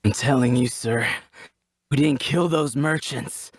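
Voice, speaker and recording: A husky-voiced bandit survivor, played by an actor, blunt and combative. The lines come indignant and wary in a dramatic table read, recorded close and dry. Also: medium pitch, unhurried, no room sound. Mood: defensive, exasperated.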